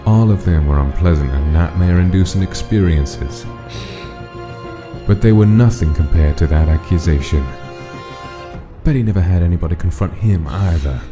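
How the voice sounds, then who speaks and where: A man's voice: deep voice, normal speed. A man narrating a dramatic story from a script, as the narrator of a comic dub. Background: music.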